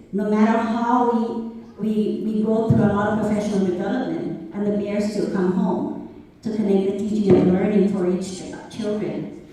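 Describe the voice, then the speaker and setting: Mellow woman in a very echoey hall. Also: mid-range voice. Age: middle-aged. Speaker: audience member, education worker. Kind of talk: unscripted audience comment. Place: lecture hall, seated in audience. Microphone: handheld microphone. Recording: echoey hall, distant from microphone.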